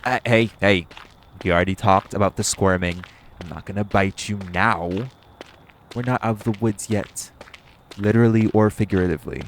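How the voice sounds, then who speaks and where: A man's voice: fairly low pitch, fairly even intonation, normal speed, slight background noise, natural voice. A man playing a cocky, teasing vampire in an audio roleplay, bantering with a hunter in a smug, sardonic, flirty way and swearing freely. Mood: irritated, sardonic. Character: cocky, teasing, hungry male vampire carrying his hunter rival. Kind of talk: audio roleplay acting, addressed to the listener.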